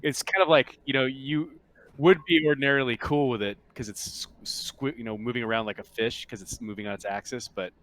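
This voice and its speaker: A man narrating a tabletop roleplaying game as game master, casually and with halting, improvised phrasing. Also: medium pitch, steady tone, a bit bright, poor audio.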